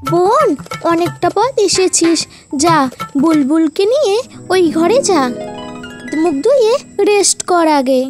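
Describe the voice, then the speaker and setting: Cautious mother bird for a kids' cartoon, played by a woman, high-pitched, music underneath. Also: big pitch swings, slow, long pauses, full character voice. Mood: caring. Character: cautious mother bird guarding a magic pot. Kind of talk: Bengali children's cartoon dubbing.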